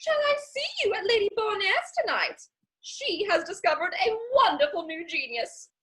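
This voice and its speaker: Very high-pitched, gushing society matron. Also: female voice, expressive, normal speed, bright, forceful tone, full character voice. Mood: gossipy, airy. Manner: gushing, rambling, theatrical. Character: chatty, scatterbrained society matron, gossipy Victorian lady. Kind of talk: stage comedy dramatic reading.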